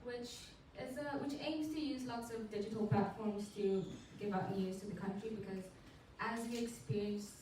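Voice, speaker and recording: A woman's voice: mid-range voice, normal speed, hardly any pauses, mellow. Teen girl presenting in an echoey hall. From India and Zambia. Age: teens. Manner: chatty, with fillers and self-corrections. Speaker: student presenter. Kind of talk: school showcase presentation. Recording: echoey room, mic far off, noisy.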